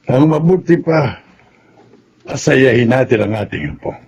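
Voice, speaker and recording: A gravelly, lively old Filipino grandfather, husky and halting. He sounds kindly and regretful, in the manner of a radio soap. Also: medium pitch, heavily acted. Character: elderly, regretful grandfather, fond of his granddaughter. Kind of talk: Filipino radio drama acting.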